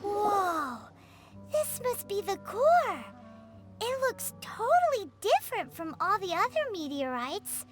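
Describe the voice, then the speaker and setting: A woman voicing a small, cheerful, childlike girl companion in a video game, squeaky and exclamatory. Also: sing-song, slow, full character voice. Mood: amazed, excited. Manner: refers to self in third person, exclamatory. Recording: music playing behind.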